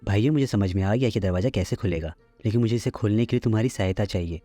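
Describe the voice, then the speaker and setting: A man playing a clever, cooperative young prince in a children's story cartoon, brisk and earnest. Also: on the low side, mellow, no echo, faint music behind, close to an everyday voice. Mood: eager, earnest.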